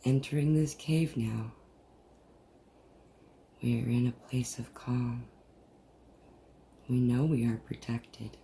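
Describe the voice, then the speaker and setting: A person leading a guided meditation in a soothing, deliberate manner with long pauses, recorded over a video call. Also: medium pitch, steady tone, vocal fry, dark tone. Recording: noisy, muffled group video-call audio.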